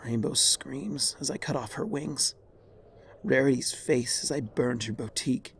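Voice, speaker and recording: A man voicing a dying, regretful pony mare, a remorseful former tyrant, in a weary, halting, husky voice for a dramatic story. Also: mid-range voice, slight background noise, voice pushed up. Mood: remorseful, hoarse. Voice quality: raspy, husky, creaky.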